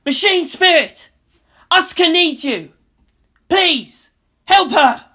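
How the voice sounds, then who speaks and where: A woman's voice: extremely high pitch, animated, takes it slowly, pushed and bright, pitched above the performer's own voice, heavily acted. A woman voicing an earnest, determined teenage boy mecha pilot, urgent and emphatic, in a story reading. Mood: pleading, rallying.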